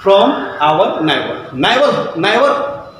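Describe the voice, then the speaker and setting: A man in his thirties with a Nepali accent, a Maithili speaker, teaching a school lesson to camera in short, halting phrases. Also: sits high, sing-song, background noise. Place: classroom with whiteboard, indoors. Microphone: far camera. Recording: echoey room from far off.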